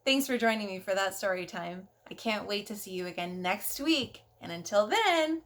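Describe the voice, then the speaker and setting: A woman hosting a story time for young children, speaking in an expressive, sing-song and encouraging way. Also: mid-range voice, moderate pace. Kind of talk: read-aloud addressed to young children.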